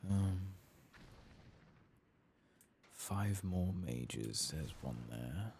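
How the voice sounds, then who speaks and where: A soft-spoken British man murmuring ASMR-style game commentary, sometimes dropping towards a whisper. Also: sits low, animated, extremely slow, slight background noise. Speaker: host of gaming playthrough.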